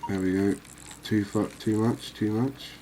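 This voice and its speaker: A man giving calm, unhurried ASMR-style commentary on a fishing game, explaining things as he plays. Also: on the low side, a little hiss or hum. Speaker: gaming video host.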